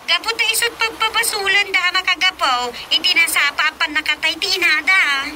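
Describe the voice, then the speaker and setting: A woman's voice: very high voice, moderate pace, pushed and bright, a little hiss or hum, full character voice. A woman with an Ilocano accent playing a chattering, gossiping daughter calling on the phone in a radio drama comedy. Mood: gossipy. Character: gossiping adult daughter on the phone, named Rosa.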